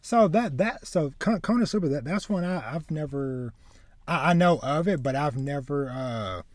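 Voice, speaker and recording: A man in his thirties hosting a casual anime podcast, speaking haltingly and often repeating words as he goes. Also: a little high, lively intonation, no room sound. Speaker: podcast host. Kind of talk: casual chat about anime. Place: small room with bookshelves, indoors, on a video call.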